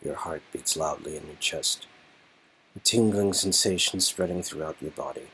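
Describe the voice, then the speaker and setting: A man's voice: extremely low pitch, normal speed, bright, forceful tone, a little hiss or hum, low-quality recording. A man narrating a romantic game story in scripted second-person prose.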